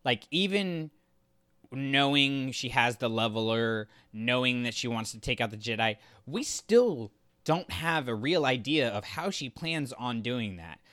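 A man's voice: mid-range voice, animated, measured pace, fairly bright tone, no echo, studio quality. A man co-hosting a podcast, giving his opinions on a story in casual conversation. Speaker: podcast co-host. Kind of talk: casual opinionated review chat.

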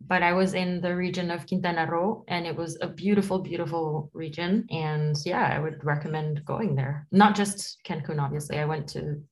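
A woman's voice: fairly low pitch, steady tone, normal speed, few pauses. A woman with a light Latin accent, a podcast studio CEO answering interview questions in a chatty way, laughing often and repeating words as she goes. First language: other than English.

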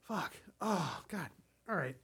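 A man's voice: high-pitched, sing-song, slow pace, vocal fry, a bit bright, breathy edge. A man hosting a crude comedy podcast, chatting casually in a rambling, repetitive way and swearing freely. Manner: rambling, repeats himself, swears. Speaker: podcast host.

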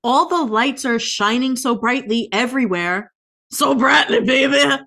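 Woman, podcast co-host, high voice, unhurried, melodramatic, playful dramatic reading. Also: pushed and bright. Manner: melodramatic, exaggerated delivery. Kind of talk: playful, theatrical dramatic reading of song lyrics.

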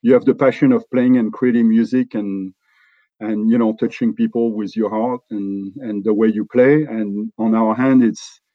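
A middle-aged man from France with a French accent, talking in a relaxed interview and often restarting his sentences. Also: fairly low pitch, no room sound, phone-quality sound. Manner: halting, many restarts and fillers. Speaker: company executive guest.

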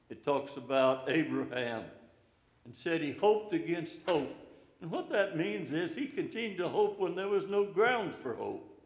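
Slow-spoken older preacher in echoey hall. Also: male voice, fairly high pitch. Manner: slow, plainspoken, encouraging. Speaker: pastor. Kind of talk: informal sermon. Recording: echoey room, hall reverb.

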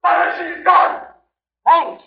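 A terrified deckhand in an old radio drama, calling out in long, shrill cries. The voice is extremely high and cracked with creak. It comes from far off with echo, on a muffled old recording. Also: male voice, fairly even intonation, slow, raised voice, a faint crowd, heavily acted. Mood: panicked, alarmed. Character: panicked male deckhand crying out across a ship. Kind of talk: 1930s radio acting.

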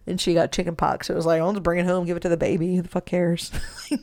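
A woman's voice: on the low side, level tone, fast talker, a little mellow. A woman in her forties co-hosting a podcast, giving wry short replies and laughing easily. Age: 40s. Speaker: podcast co-host. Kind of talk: casual chat.

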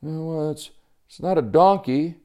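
A man's voice: fairly high pitch, a little slow, warm tone, voice pushed up, natural voice. A man voicing an eager, timid young boy in a story, hesitant and stammering over his words. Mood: puzzled, nervous. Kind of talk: storytelling character voice.

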